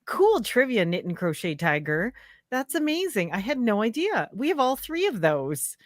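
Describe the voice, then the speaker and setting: Bubbly, giggly woman with a lively high voice. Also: moderate pace, no echo, clean studio sound. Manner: giggly, bubbly, trails off mid-sentence. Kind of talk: casual live chat.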